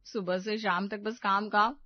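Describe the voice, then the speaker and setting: A woman's voice: mid-range voice, flat delivery, unhurried, no echo, close to an everyday voice. Woman acting discontented young housewife, whining, self-pitying, storybook drama, dry slightly dull recording. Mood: envious, sulky. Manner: whining, exclaiming complaints.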